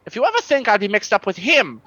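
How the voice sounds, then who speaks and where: A woman's voice: mid-range voice, big pitch swings, fast talker, fairly bright tone, no echo, highs cut off. A woman playing a slippery, pleading male con artist in a comic radio play, stammering and wheedling as the character talks. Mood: defensive.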